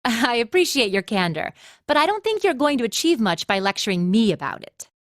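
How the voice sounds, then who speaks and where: A woman's voice: fairly high pitch, sing-song, a little fast, a bit bright. An actress playing a breezy, teasing young female academy instructor in an anime game dub, playful and casual in manner. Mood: wry.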